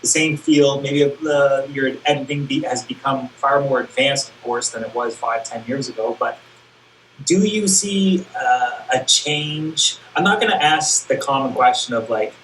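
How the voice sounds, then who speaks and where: A man's voice: mid-range voice, unhurried, fairly bright tone, distant mic. Man, interview host, rambling, self-deprecating, roomy echo, faint background hiss. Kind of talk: casual interview chat.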